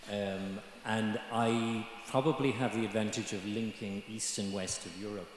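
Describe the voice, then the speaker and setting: An older man with an Irish accent speaking as a mature student on a conference panel, measured and hesitant with many ums. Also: lowish voice, steady tone, a little hiss or hum. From Ireland. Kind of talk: panel discussion remarks. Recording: echoey conference hall.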